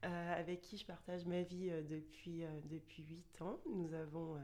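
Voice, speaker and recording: Podcast guest, female, 30s. Lowish voice, conversational, hesitant phrasing with frequent pauses. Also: flat delivery, a little mellow. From France. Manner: hesitant, pausing often mid-sentence. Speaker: podcast guest, luxury boutique director. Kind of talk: conversational interview answers.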